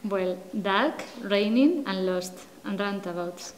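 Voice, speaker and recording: A woman tells of her arrival in a hesitant, halting way, often restarting. Her voice sounds roomy, with music beneath. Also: medium pitch. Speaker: newcomer interviewee. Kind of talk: casual interview answers.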